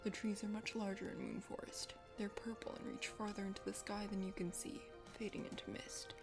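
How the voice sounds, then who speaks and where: A woman's voice: medium pitch, level tone, fairly quick, music in the background, close to an everyday voice. A woman voicing a proud but bashful young hunter prince with a crush, haughty and easily flustered, in an ASMR play-through of a dating game. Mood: wistful, soft.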